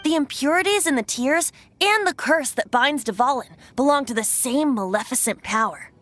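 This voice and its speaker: A woman's voice: high-pitched, animated, normal speed, fairly bright tone, faint music behind. A woman voicing a cheerful, youthful male bard in game cutscene dialogue, lilting and theatrical. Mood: playful.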